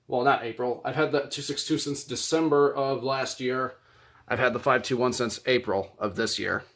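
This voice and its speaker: Male hobbyist vlogger, average pitch, casual show-and-tell delivery. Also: steady tone, normal speed, a bit bright. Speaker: hobbyist presenting a radio collection.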